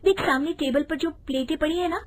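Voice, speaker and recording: A woman voicing a brisk, outspoken young daughter-in-law in a storybook tale, with a blunt manner. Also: high-pitched, pitched above the performer's own voice, lightly acted. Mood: brisk, skeptical. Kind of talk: animated Hindi storybook voice acting.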